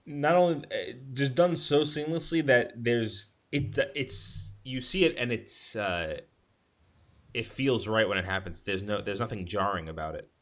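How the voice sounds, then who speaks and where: A man chatting casually as a podcast co-host about film, halting and rephrasing as he goes. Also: medium pitch. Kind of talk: casual film discussion chat.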